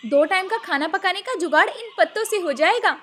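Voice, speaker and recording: Woman playing a very high-voiced thrifty young housewife. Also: lively intonation, fairly quick, a bit bright, a little hiss or hum. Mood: practical. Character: thrifty, practical city-bred daughters-in-law. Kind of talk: animated moral-story cartoon dialogue.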